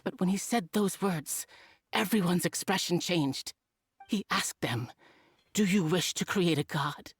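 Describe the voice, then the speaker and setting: A woman's voice: fairly low pitch, measured pace, breathy edge, dry recording. Earnest female scholar for a fantasy game, troubled, expository delivery. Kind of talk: video game voice acting.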